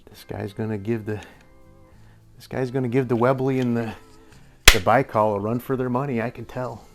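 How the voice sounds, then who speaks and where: An older man with a slightly hoarse voice, rambling through a casual airgun review in a workshop and chuckling often. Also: medium pitch, fairly even intonation, normal speed, over music. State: a little hoarse. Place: indoor workshop with tool wall. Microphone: camera at a few feet.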